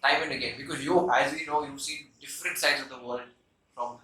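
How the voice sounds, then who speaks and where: A male host asking interview questions, pausing and searching for words. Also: mid-range voice, steady tone, pushed and bright. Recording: echoey room, distant mic.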